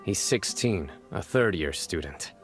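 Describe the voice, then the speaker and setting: A stern young male enforcer in a video game, speaks in a low voice. He is composed, formal and authoritative with a deadpan edge, with faint music underneath. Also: a little slow.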